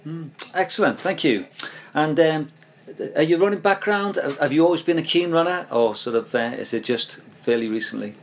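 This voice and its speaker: A man's voice: fairly high pitch, expressive, moderate pace, a little hiss or hum. A man hosting a podcast, asking his guest questions in a polite, slightly formal way. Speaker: podcast host. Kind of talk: podcast interview.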